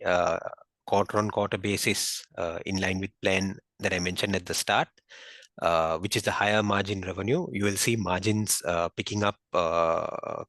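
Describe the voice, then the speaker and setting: A man speaking as a finance executive on an investor call, explaining in a halting, measured, unscripted way. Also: lowish voice, fairly bright tone, dry recording. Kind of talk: unscripted business earnings call.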